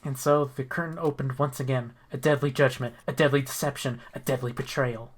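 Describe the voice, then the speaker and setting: A man's voice: mid-range voice, fairly even intonation, fairly quick. A man streaming a video game, chatting casually, joking and swearing, and reading the story aloud. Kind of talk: casual playthrough chat and narration. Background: game music.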